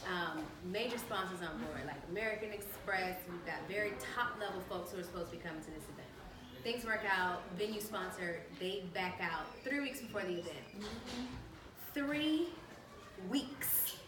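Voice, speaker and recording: A woman panelist recounts a work story briskly and matter-of-factly. She is picked up from a distance in a roomy, echoing space, with a steady noise underneath. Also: lowish voice. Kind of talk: panel discussion. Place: event room. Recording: echoey room, distant mic, background noise.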